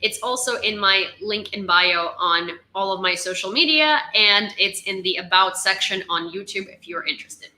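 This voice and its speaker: A woman's voice: medium pitch, moderate pace, hardly any pauses, pushed and bright, echoey room, low-quality recording. A young woman from Ukraine hosting a casual news live stream, reading headlines and chatting with viewers. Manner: chatty, laughs often, reads headlines aloud.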